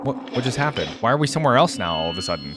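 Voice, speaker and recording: Animated man giving casual reaction commentary. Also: medium pitch, rapid-fire, heavy background noise. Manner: informal, thinking aloud. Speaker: reaction video host. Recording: music behind the voice.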